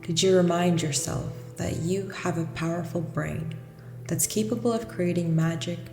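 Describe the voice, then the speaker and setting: A woman leading a guided meditation, speaking in a gentle, unhurried, instructional way with long pauses between phrases. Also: lowish voice, fairly even intonation, creaky. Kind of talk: guided meditation, slow soothing scripted narration. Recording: echoey long reverb with music underneath. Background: music.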